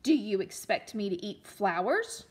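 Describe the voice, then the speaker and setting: A woman voicing a quick-tempered but remorseful husband in a storybook folktale, in a measured delivery. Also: mid-range voice, very animated. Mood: cold, angry.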